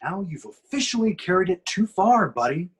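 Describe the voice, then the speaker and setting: A man playing a gruff, confident superhero, stern and dry, with a husky voice and clipped delivery in a script read. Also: high voice, very animated, normal speed, full character voice. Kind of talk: online table read of a film script.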